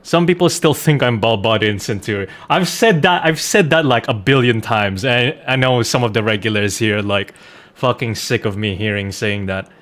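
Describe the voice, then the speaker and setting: A man's voice: lowish voice, moderate pace, fairly bright tone. A man chatting informally as a game streamer, swearing now and then and repeating himself for emphasis. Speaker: streamer, voice actor. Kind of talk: casual livestream chat while gaming.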